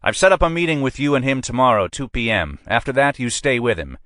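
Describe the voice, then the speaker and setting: A man reads a blustering, pushy police captain who barks his orders in a raised, lively voice that swoops up and down. The sound is close and dry, with no room around it. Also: medium pitch, fast, no room sound, voice pushed up. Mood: commanding. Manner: brusque, pushy, emphatic. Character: blustering middle-aged male police captain.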